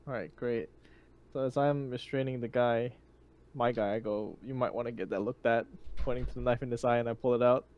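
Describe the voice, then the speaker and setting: A man's voice: mid-range voice, steady tone, a little fast, lo-fi sound. Male gamer, middle register, casual conversational play at a tabletop session. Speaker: tabletop game player. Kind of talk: casual game chat.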